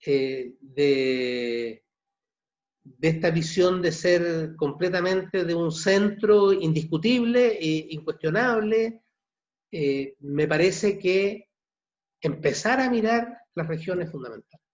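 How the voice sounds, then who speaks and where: Halting Chilean man in his sixties. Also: a little high. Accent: Chilean Spanish. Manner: halting, repeats words, long pauses. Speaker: novelist at his book launch. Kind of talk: reflective answers in an online book launch. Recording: dull muffled video call audio.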